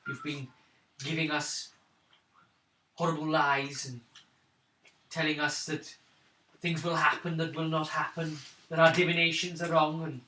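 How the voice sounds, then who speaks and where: A man plays a wounded young clanswoman in a tabletop fantasy game, shaken and weary. The delivery is halting and pleading, with a bright, forward edge. It is caught from off the mic in a roomy, hissy space. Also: on the high side, flat delivery, distant mic. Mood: weary, bitter. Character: wounded, shaken young woman of a wandering clan.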